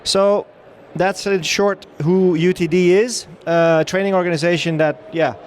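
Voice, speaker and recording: A man's voice: sits high, fairly even intonation, a little slow, slight background noise. A middle-aged man from the Netherlands with a Dutch accent, giving a chatty, upbeat talk to a live audience on a trade-show floor, with many fillers and restarts.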